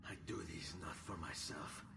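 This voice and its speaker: An actor playing a brooding, resolute young assassin in a video game, grave and intense, with an Italian accent. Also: male voice, extremely low pitch, moderate pace, vocal fry, distant mic, background noise. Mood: solemn. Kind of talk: video game cutscene acting.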